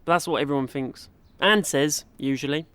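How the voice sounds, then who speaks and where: An actor playing a blunt, cheeky teenage son with a London accent in a comedy radio play. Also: male voice, a little high, normal speed, fairly bright tone, clear, resonant tone, natural voice. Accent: London English.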